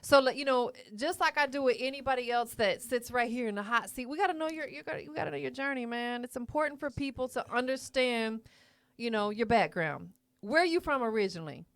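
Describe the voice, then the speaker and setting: Smooth-voiced woman podcast host. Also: a little high, a little fast, dry recording. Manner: chatty, affirming, slangy. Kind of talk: casual interview. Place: podcast studio. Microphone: studio mic.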